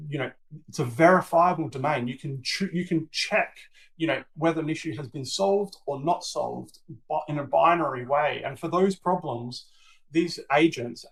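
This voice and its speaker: A man's voice: medium pitch, vocal fry, low-quality recording. A man speaking as a webinar panelist, thoughtful and hedging with fillers as he explains. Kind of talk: business webinar discussion.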